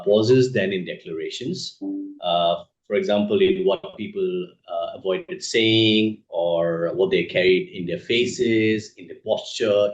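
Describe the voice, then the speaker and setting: A man from northeast India with an Indian accent, giving reflective, thoughtful interview answers as an author, measured and pausing mid-sentence. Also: fairly low pitch, a little mellow. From Assam, northeast India. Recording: echoey room, dull muffled sound.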